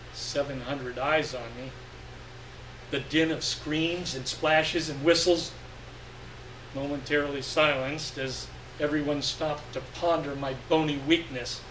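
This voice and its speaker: A man giving an expressive live reading of literary fiction, with deliberate phrasing and dramatic pauses. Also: on the high side. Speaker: story reader. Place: live reading event indoors. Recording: echoey room, distant mic, faint hum.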